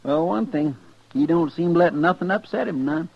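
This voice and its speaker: A simple, eager frontier sidekick with a rural American drawl. The voice is highish and very creaky, folksy and deferential. It sounds like a muffled 1950s radio western. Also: male voice, normal speed. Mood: naive, sheepish. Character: simple, eager, good-natured male deputy sidekick.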